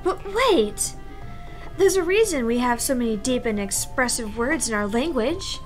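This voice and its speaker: Woman voicing a high-pitched, prim, flustered schoolgirl poet. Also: expressive, moderate pace, soft background music, close to an everyday voice. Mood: indignant. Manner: formal, hesitant, stammering when flustered. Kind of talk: visual novel voice-over read aloud.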